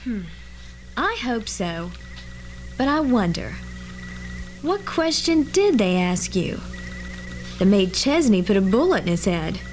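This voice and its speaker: A woman's voice: mid-range voice, sing-song, takes it slowly, long pauses, soft background music. A woman playing an inquisitive, pondering newspaper reporter in an old-fashioned radio drama, questioning and theatrical. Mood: wondering.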